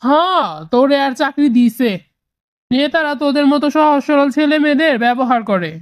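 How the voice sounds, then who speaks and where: A worried mother from a cartoon scolds in a high voice, acted by a woman. She nags in colloquial Bangladeshi, recorded close and dry. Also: moderate pace, dry recording, close to an everyday voice. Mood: scolding. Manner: nagging, admonishing. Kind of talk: cartoon dialogue.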